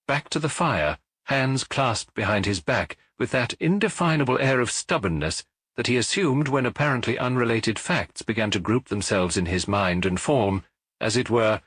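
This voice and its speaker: A man's voice: on the low side, fairly bright tone, breathy edge, no echo. A man with a British accent reading a detective story as scripted audiobook narration.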